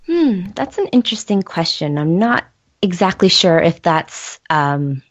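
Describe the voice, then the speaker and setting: A woman's voice: lowish voice, very animated, unhurried, dry recording. A woman on a podcast panel discussing film casually, in a tentative, thoughtful way. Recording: dull, dry lo-fi audio.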